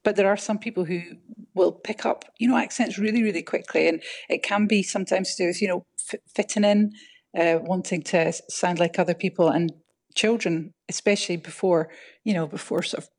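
Scottish woman with a gravelly, low voice. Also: fairly even intonation, a little fast, a little mellow. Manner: explanatory, thoughtful, frequent fillers. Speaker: linguistics professor, guest. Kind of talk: radio interview answers.

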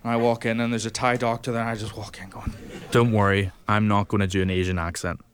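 Low-voiced male stand-up comedian. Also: quick pace. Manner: self-deprecating, deadpan asides. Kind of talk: stand-up storytelling with asides. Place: comedy venue with live audience.

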